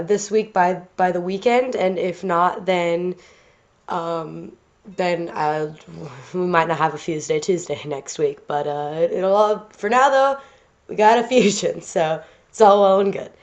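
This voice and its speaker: Stuffy-nosed woman rambling casually. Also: fairly low pitch. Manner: rambling, many fillers and restarts. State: stuffy nose from sinuses. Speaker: art channel host. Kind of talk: casual rambling commentary over drawing video. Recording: slightly dull lo-fi home recording.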